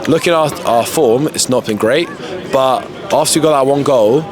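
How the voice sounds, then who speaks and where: Male football fan amid loud crowd. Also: medium pitch, normal speed. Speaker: football fan being interviewed. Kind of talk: casual match analysis. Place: outdoors outside a stadium. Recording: loud outdoor crowd and traffic.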